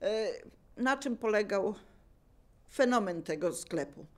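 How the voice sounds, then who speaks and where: A woman's voice: mid-range voice, lively intonation, takes it slowly. An older woman casually sharing memories as a local resident, searching for words and repeating herself to explain. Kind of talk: casual spoken reminiscence.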